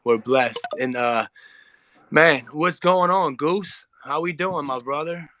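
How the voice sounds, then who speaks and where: A man's voice: a little high, takes it slowly, sharp tone, no room sound. A man hosting a casual live audio chat, talking in an effusive, slangy, bro-heavy way. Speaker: host.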